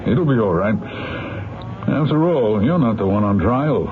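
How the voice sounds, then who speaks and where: Deep-voiced defense attorney in a radio play. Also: male voice, lively intonation. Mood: reassuring, fussy. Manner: measured, questioning. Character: probing, reassuring male defense attorney. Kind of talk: 1970s radio drama acting. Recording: muffled, phone-like old radio broadcast.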